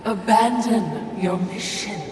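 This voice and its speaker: An actress playing a sly, subtly mocking spirit attendant in a game cutscene, with a formal, insinuating delivery. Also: female voice, medium pitch, slow, background noise, lightly acted. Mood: goading, mocking. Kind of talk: video game cutscene acting. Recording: distant, echoing voice over music.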